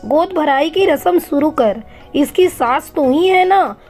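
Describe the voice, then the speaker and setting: Casting: woman as homely cartoon crow auntie, high voice, familiar and directive, soft music behind. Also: expressive, takes it slowly. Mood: cheerful, directing.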